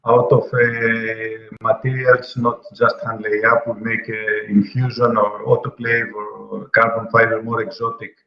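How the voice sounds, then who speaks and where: Low flat man, echoey off-mic. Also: vocal fry. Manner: halting, many "uh" fillers. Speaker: company representative. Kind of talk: casual spoken explanation. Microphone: far from the laptop mic on a video call. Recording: echoey dull audio, off-mic.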